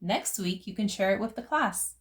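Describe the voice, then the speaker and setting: Woman as a patient, lively-toned schoolteacher. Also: mid-range voice, normal speed, close to an everyday voice. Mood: encouraging. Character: patient, encouraging elementary school teacher in a picture book.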